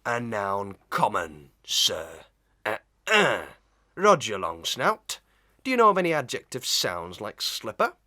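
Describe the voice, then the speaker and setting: A man's voice: on the low side, huge pitch swings, bright, forceful tone, heavily acted. A man acting a pompous, cunning old schoolmaster in a Victorian melodrama, hemming, drawing out words and leaning on stock sayings. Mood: pompous quizzing. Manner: theatrical, hemming, drawn-out, full of stock sayings. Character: pompous, cunning old village schoolmaster, proverb-quoting and blustering.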